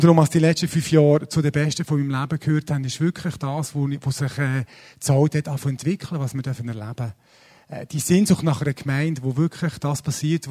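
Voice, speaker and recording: A man in his forties with a Swiss German accent, preaching a sermon in a casual, lively, self-deprecating way with many fillers. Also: a little high. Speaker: pastor. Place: church service.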